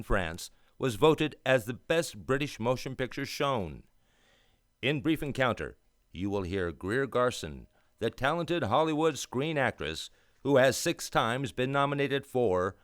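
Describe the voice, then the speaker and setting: A man's voice: mid-range voice, fairly bright tone, dry recording, studio quality. A man reading scripted radio announcements in a formal, declamatory way.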